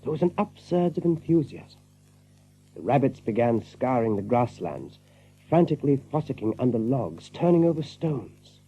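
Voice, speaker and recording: A man with a husky voice, playing a thoughtful, knowing rabbit storyteller in a dramatized audiobook. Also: mid-range voice, big pitch swings, normal speed, warm tone, lo-fi sound. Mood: narrating.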